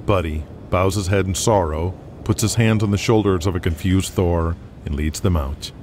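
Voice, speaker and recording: A man giving scripted dramatic narration with a measured storytelling manner. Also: low voice, a little mellow, a little hiss or hum.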